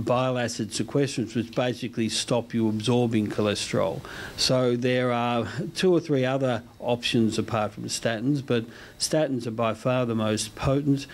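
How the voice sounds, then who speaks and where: A man interviewed as a medical researcher, giving explanatory answers in a measured, slightly hesitant way. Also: medium pitch. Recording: slight background location noise.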